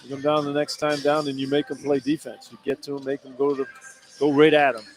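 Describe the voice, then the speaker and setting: A man's voice: medium pitch, flat delivery, fast, rough recording. A man working as a radio color commentator, talking through basketball tactics in an informal, colloquial way from courtside. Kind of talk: conversational basketball analysis. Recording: live arena broadcast, clear background noise. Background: arena ambience and noise.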